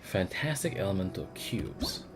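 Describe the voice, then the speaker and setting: A man's voice: sits low, sing-song, measured pace. A man livestreaming a game, casually commenting and reading on-screen text aloud with offhand asides, over game music. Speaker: game streamer.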